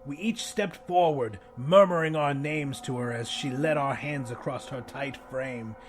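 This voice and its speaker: A man's voice: mid-range voice, measured pace. A man narrating first-person fiction as a dramatic audiobook reader.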